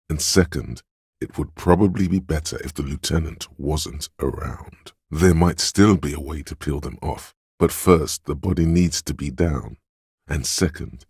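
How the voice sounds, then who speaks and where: Very deep gravelly male game narrator. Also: darkish tone, dry recording, studio quality, exaggerated voice. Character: inner voice of hand-eye finesse, cool, sly, goading. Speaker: video game voice actor. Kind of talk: dramatic second-person game narration.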